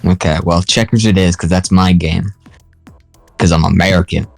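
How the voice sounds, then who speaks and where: A man's voice: low-pitched, steady tone, moderate pace, vocal fry, faint music behind. A man playing a tabletop game, joking in character as an old veteran. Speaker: player. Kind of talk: casual tabletop roleplay.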